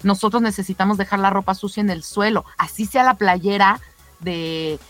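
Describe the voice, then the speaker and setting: A woman's voice: mid-range voice, steady tone, moderate pace, fairly bright tone. Woman co-hosting radio sports news over music. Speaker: radio show co-host. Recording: music bed under the voice.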